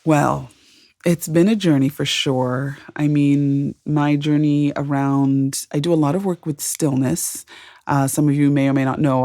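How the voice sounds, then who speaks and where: A middle-aged woman from Zimbabwe co-hosting a podcast, sharing personal stories in a calm, thoughtful and earnest way. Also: deep voice, dry recording, clean studio sound. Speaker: podcast co-host. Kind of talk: reflective conversational storytelling.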